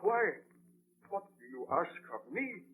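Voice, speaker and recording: A man playing a haunted, defiant gentleman scientist with a melodramatic, formal delivery in a 1930s radio drama. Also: high-pitched, big pitch swings, unhurried, husky, heavily acted. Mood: defiant, desperate. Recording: tinny band-limited 1930s radio broadcast.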